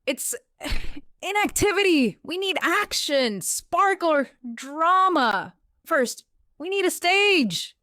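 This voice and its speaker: A woman's voice: very high-pitched, big pitch swings, slow, pushed and bright, no room sound, studio quality, pitched above the performer's own voice, exaggerated voice. A woman voicing a bossy, theatrical female cat in a children's story, with grand, dramatic exclamations. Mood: bossy, critical.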